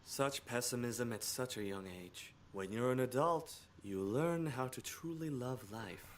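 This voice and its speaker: Patient, moralizing counselor for a visual novel: extremely low, earnest voice. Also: female voice, a little slow, a little hiss or hum. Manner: earnest, lecturing. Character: patient, preachy adult high school counselor. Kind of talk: visual novel game voice acting.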